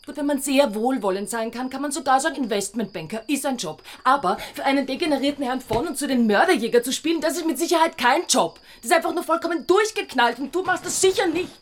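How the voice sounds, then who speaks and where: A woman's voice: high-pitched, animated, fast, hardly any pauses. An actress playing an exasperated, sarcastic girlfriend with an Austrian accent and an emphatic, scolding manner in a radio drama. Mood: scornful.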